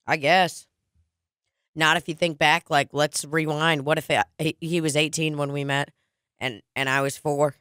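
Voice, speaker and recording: A woman's voice: deep voice, level tone, normal speed, fairly bright tone, smooth, no room sound. A woman of twenty-eight co-hosting a podcast, chatting casually and telling rambling stories while she eats, into a studio mic. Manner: rambling, laid-back storytelling, eating while talking. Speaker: podcast co-host. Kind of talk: casual chat. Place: small studio with red curtains. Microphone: studio broadcast mic with headphones.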